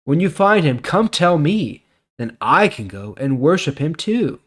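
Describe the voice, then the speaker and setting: A man's voice: on the high side, big pitch swings, unhurried, pitched above the performer's own voice. Man reading as an insecure, self-important king. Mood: commanding. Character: insecure, scheming male king Herod.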